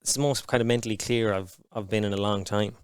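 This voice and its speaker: A man talking frankly on a podcast, cursing freely and stopping to restart his thoughts. His voice is smooth and a little low, recorded dry and close with no room sound. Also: steady tone, no echo. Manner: frank and heavy-swearing, halting restarts. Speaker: podcast guest. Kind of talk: candid conversation.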